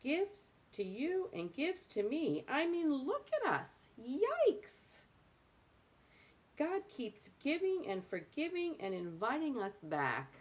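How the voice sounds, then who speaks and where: A woman's voice: high-pitched, huge pitch swings, slow pace. A woman reading a book aloud expressively, with emphatic phrasing. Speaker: reader of a book aloud for adults.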